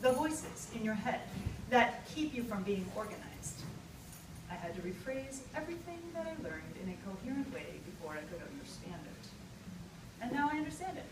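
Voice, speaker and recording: Noisy echoey live recording, woman, lively intonation, theatrical essay reading, deliberate pauses. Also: a little high. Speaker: writer reading own essay. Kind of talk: humorous personal essay read to an audience. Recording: echoey, distant live recording with loud noise.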